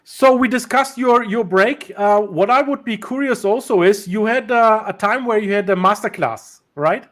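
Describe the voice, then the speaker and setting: A man's voice: high voice, moderate pace, few pauses, fairly bright tone. A male host whose first language is German or Turkish, speaking English in a casual interview over a video call, with many fillers and restarts.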